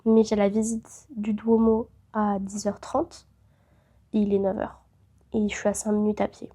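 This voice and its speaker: A woman's voice: mid-range voice, completely flat delivery, unhurried, dark tone. A woman in her late teens with a head cold, chatting casually and rambling a little as she vlogs to camera. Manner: chatty, rambling, frequent fillers and restarts. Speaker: travel vlogger. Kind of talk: casual vlog chat to camera.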